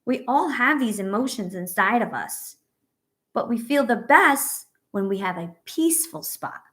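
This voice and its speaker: A woman reading a picture book aloud to young children as a teacher, pausing to ask questions and offer encouragement and reassurance. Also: a little high, lively intonation, a bit bright. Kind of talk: read-aloud story with asides to young children.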